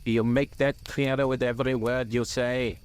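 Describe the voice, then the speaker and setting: A man voicing a grave old male wizard in a storybook, stern and patient, with a quiet, measured manner. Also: medium pitch, flat delivery, smooth, voice pushed up, natural voice. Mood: stern, urging.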